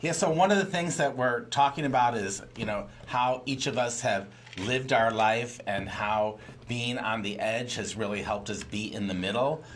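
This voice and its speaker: A man's voice: mid-range voice, moderate pace, few pauses, fairly bright tone, slight background noise. A man co-hosting a live podcast, talking earnestly about growth and accountability. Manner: earnest, motivational. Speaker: podcast co-host. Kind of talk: casual live podcast chat.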